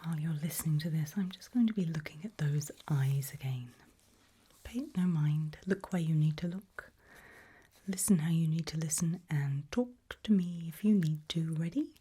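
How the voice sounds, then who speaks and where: A woman whispering a medical exam roleplay in ASMR style, breathy and hushed, slow, deliberate and gently coaxing. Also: deep voice. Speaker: ASMR performer playing an examiner.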